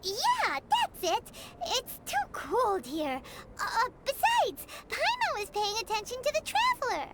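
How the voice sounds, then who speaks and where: A tiny, childlike game companion with an extremely high, squeaky voice. She is excitable and easily indignant, whining and exclaiming in animated swoops. There is heavy noise behind her. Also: a little slow, few pauses, heavily acted. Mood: whiny, sheepish. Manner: speaks of self in third person, exclamatory. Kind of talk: video game voice acting.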